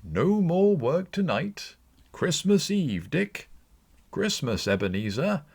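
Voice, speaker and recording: A man's voice: a little high, big pitch swings, takes it slowly, gravelly, darkish tone, husky, pitched above the performer's own voice, heavily acted. A man voicing a jolly, exuberant old Victorian master in an audiobook, calling out heartily. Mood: jolly, rousing. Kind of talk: audiobook character voice.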